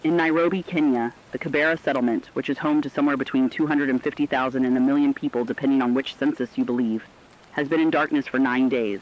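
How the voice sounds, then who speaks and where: A man playing a reflective, compassionate radio correspondent, delivering a scripted essay in an earnest, deliberate way. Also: medium pitch, steady tone, highs cut off, natural voice. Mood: earnest, somber. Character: reflective, compassionate male radio correspondent during a global crisis. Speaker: radio commentator.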